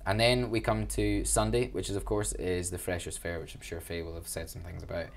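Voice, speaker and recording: Young Glaswegian man, low-pitched, enthusiastic and chatty, joking at his own expense. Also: level tone, fairly quick, creaky. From Glasgow, Scotland. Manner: enthusiastic, chatty, self-deprecating. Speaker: student union events officer. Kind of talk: casual interview answers.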